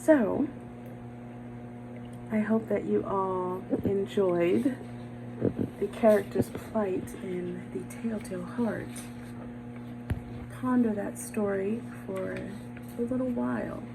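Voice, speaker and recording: A woman with measured, deliberate phrasing, speaking as a story reader and channel host. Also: medium pitch, creaky. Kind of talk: dramatic literary reading and soft-spoken channel talk. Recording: noisy home recording, audible background noise.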